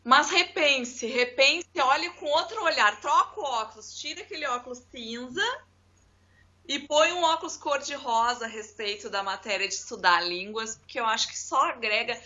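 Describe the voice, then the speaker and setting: Bright-voiced woman chatting. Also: high-pitched, moderate pace. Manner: lively storyteller, speaks straight to viewers. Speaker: co-host. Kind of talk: casual chat. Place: abroad, remote call.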